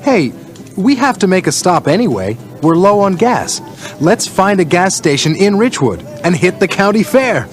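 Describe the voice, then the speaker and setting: A man's voice: high voice, very animated, moderate pace, soft background music. A man with an American accent playing a friendly, upbeat driver who explains things in a scripted English-learning radio drama. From Northeast United States.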